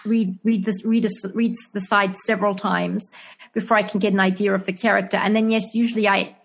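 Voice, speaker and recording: A woman's voice: mid-range voice, little pitch movement, a little fast, gravelly. Hesitant Zimbabwean woman, laughing often. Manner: hesitant, many fillers and restarts, laughs often. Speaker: actress and screenwriter being interviewed. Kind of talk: casual interview answers.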